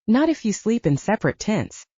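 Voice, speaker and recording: A woman voicing a kindly, stubborn elderly grandfather with an earnest, gentle manner in an audiobook. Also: medium pitch, sing-song, normal speed, husky, dry recording, voice pushed up. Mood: earnest, coaxing. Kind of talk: audiobook character dialogue. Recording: dull, slightly lo-fi, dry recording.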